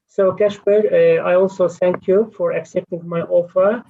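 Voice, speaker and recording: A man hosting an online meetup, his slightly high voice gravelly with fry. He talks haltingly, with many fillers. The sound is dull, lo-fi video call audio. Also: level tone, mellow, a little hiss or hum. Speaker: meetup host.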